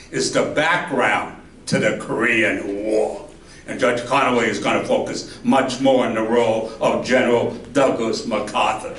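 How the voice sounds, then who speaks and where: A man's voice: medium pitch, fairly bright tone. A man, a history professor, giving a chatty public lecture in a library meeting room, asking the audience questions now and then. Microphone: handheld mic, heard from afar. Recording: echoey room, voice from far off.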